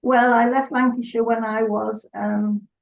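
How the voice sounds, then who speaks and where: An older woman from Salford, England, chatting as a guest in an unhurried way with hesitant fillers. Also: on the high side, level tone. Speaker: guest artist. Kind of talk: relaxed interview chat. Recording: dull lo-fi sound.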